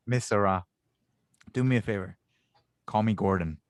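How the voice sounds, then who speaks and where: A man acts as a teasing, good-hearted inventor in an amateur space audio drama. His voice sits on the low side, with an earnest, playful delivery. The sound is dry, with little room to it. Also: unhurried, no echo, close to an everyday voice. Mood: playful, upbeat. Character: warm, teasing male inventor, reunited with an old friend. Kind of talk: fan audio drama table read.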